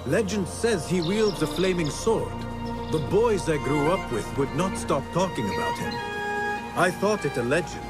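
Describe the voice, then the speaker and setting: A young male assassin in a game cutscene, played by an actor. He is loyal and earnest, with measured phrasing and very lively intonation. Music plays clearly behind him. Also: fairly high pitch, close to an everyday voice. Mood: curious, urgent. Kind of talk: video game cutscene acting.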